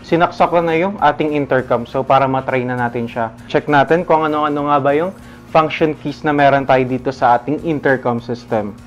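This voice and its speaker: A man with a Filipino accent giving a casual, instructional product demonstration, addressing viewers informally. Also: on the high side. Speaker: product presenter. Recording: dull lo-fi sound under music. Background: background music.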